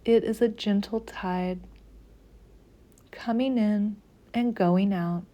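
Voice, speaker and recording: Female meditation guide: soothing, mellow tone, very slow measured delivery with long pauses. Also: mid-range voice.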